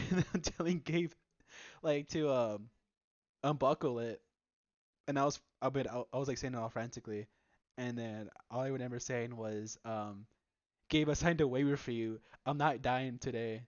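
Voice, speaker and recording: A young man telling stories in a casual, sweary chat with friends, halting and defensive as he banters. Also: medium pitch, darkish tone, dry recording, muffled recording. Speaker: podcast host.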